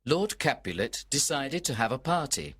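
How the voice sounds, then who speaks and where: A man narrating a storybook for learners from a script, in a measured, deliberate way. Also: mid-range voice, lively intonation, fairly bright tone, husky.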